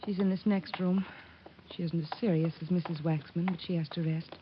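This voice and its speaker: A woman's voice: fairly low pitch, steady tone, a little fast, lightly acted. A woman playing a firm, clipped, officious hospital nurse in a 1940s style radio drama. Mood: businesslike. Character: brisk, firm female hospital nurse, strict but civil. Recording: muffled, noisy vintage radio recording.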